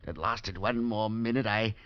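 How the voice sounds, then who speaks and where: An actor playing a haunted, terrified older professor in a 1940s radio drama, halting and breaking off his words in dread. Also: lowish voice, steady tone, close to an everyday voice. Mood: shaken, dread. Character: haunted aging male professor, terrified and shaken. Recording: dull old radio broadcast.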